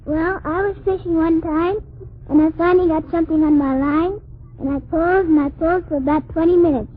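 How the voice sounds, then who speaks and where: Man voicing a tiny high-pitched mischievous little girl. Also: a little fast. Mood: sly, deadpan. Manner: childlike, innocent, cheeky deadpan comebacks. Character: mischievous, literal-minded imaginary little girl. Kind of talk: 1940s radio comedy double act. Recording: tinny, hissy 1940s radio broadcast.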